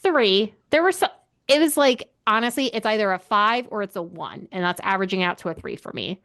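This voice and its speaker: A woman's voice: mid-range voice, animated, brisk, fairly bright tone. A woman co-hosting a book podcast in casual chat, speaking in an informal, opinionated and emphatic way.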